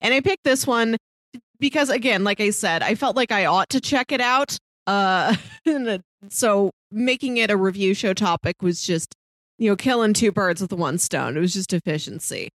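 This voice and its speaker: A woman's voice: mid-range voice, normal speed, a bit bright, no room sound. A woman co-hosting a casual review podcast in a wry, playfully wordy way. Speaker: podcast co-host.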